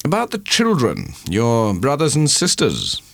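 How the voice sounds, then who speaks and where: A man playing a tender, earnest gentleman suitor in a dramatised audiobook, concerned and pleading. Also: medium pitch, huge pitch swings, unhurried, gravelly, no echo, voice pushed up, exaggerated voice. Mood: coaxing.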